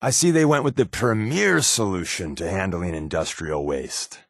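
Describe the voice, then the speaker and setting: An American actor playing a sardonic, wisecracking secret agent companion in a video game, with a wry, offhand delivery. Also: male voice, sits low, normal speed, gravelly, no echo, clean studio sound. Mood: sarcastic.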